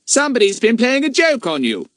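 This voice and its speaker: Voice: medium pitch, huge pitch swings, brisk, no room sound, clean studio sound, voice pushed up. A narrator voicing a confident, breezy boy who leads his friends in a storybook. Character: cheerful, confident boy leader.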